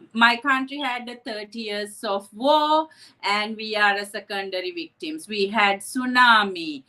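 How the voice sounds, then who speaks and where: A woman's voice: mid-range voice, unhurried, bright, forceful tone, low-quality recording. A woman from Sri Lanka, a psychotherapist telling her story earnestly in an interview, often adding "right?". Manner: earnest, reflective, with frequent "right?" asides. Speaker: psychotherapist guest.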